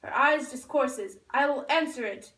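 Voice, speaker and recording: A lovestruck young man in a puppet play , voiced by a woman, high in pitch, declaims ardent, poetic verse. The voice is recorded in a very echoey room, well away from the microphone. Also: unhurried, a bit bright. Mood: adoring. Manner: declaiming verse, reciting from a script. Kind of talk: Shakespeare recited for a school puppet show. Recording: echoey room, far from the mic.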